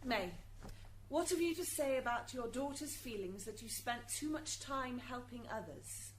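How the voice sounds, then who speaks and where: A woman's voice: a little high, unhurried, lightly acted. A woman acting a stern prosecuting lawyer with formal, pointed questions in an amateur radio drama. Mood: challenging. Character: stern, leading prosecuting lawyer in afterlife court. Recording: hissy amateur recording, background noise.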